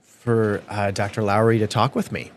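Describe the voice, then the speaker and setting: A low-voiced man, a reporter talking through how he got his story. He hesitates and restarts often, feeling his way through each answer. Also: level tone, moderate pace, a little hiss or hum. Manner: hesitant, many fillers and restarts. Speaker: news reporter. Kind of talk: unscripted studio conversation.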